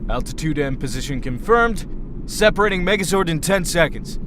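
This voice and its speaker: A man's voice: fairly high pitch, expressive, brisk, background noise, lightly acted. A man acting a guarded, curt teenage boy in an audio drama, a little distant from the mic. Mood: casual, steady.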